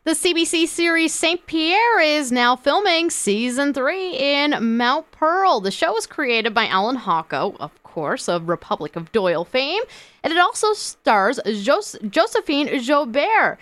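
A woman reads a radio news item. Her voice is high and moves a lot. The sound is dry and close. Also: animated, measured pace, fairly bright tone, no echo. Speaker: news anchor.

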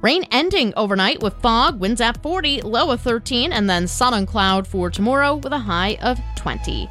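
A woman works through a regional radio weather forecast in a crisp, measured read. Her voice is a little high. Faint music sits behind her. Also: animated, fairly bright tone. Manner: crisp, measured reading of a list. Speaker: radio newsroom weather reader.

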